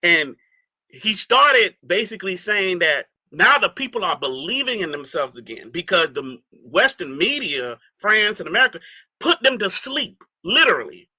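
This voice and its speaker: A man's voice: high voice, big pitch swings, normal speed, pushed and bright, husky, no room sound. A man from the Bahamas, a guest in a casual interview, talking emphatically and often checking in with his listener. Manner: emphatic, repeats "you see what I'm saying".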